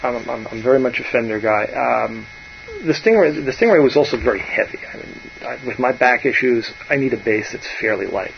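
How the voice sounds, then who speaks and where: A man, a bass player chatting casually and rambling on, often trailing off softly. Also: a little fast, creaky, highs cut off, a little hiss or hum. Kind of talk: casual musician chat.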